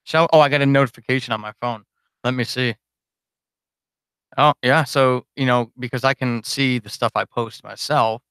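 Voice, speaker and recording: A man's voice: mid-range voice, steady tone, moderate pace, a bit bright, smooth, no room sound. A man chatting casually and unscripted to his supporters on a livestream, rambling, informal and self-deprecating as a podcast host.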